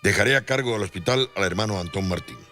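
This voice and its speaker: An actor playing a devout, selfless and determined friar in a solemn, earnest radio drama style. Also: male voice, lowish voice, fast, sharp tone, soft background music. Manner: earnest, fervent, declamatory.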